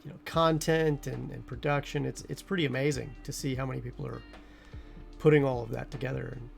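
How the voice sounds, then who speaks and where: A man's voice: mid-range voice, steady tone, fairly quick, vocal fry, soft background music. A man hosting a casual interview, loose and full of fillers as he asks questions. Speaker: show host. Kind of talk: casual chat.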